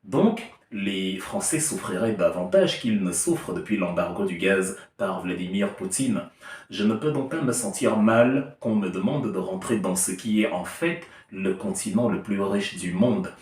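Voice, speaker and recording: A man's voice: deep voice, expressive, unhurried, darkish tone. A man giving a heated political opinion monologue, emphatic and argumentative with an ironic edge. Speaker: political commentator. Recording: echoey room, distant mic.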